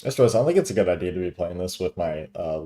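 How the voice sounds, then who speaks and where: A woman's voice: rumbling low, expressive, very fast, mellow, faint music behind. A woman doing casual, playful playthrough commentary as a gaming streamer, reading dialogue choices aloud as she goes.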